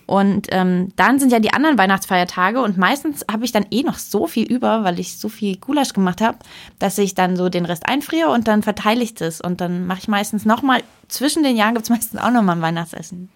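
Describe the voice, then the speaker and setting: Young woman podcast host, casual chat, animated and rambly, medium pitch. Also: a little fast.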